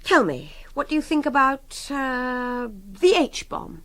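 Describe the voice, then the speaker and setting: A woman's voice: high voice, sing-song, takes it slowly, fairly bright tone, full character voice. An actress playing a defiant, superior young captive woman with an English accent, articulate and impatient, in radio drama dialogue. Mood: impatient, goading. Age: young adult.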